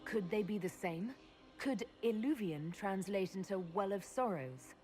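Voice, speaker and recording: A woman's voice: mid-range voice, expressive, unhurried, natural voice. A woman playing a resolute, inquiring leader of a quest in a fantasy game, direct and composed in manner. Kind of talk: fantasy game dialogue acting. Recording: loud game music behind the voice. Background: game soundtrack music.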